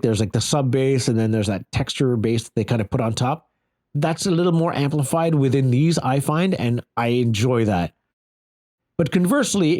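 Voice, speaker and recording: A man's voice: fairly high pitch, a little mellow. Casual man reviewing audio gear. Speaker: product reviewer.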